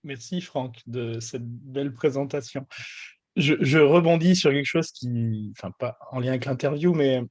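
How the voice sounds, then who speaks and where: A man's voice: mid-range voice, normal speed, no room sound. A man with a French accent hosting an interview, asking loosely phrased, friendly questions with fillers. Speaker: podcast host. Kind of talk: casual interview.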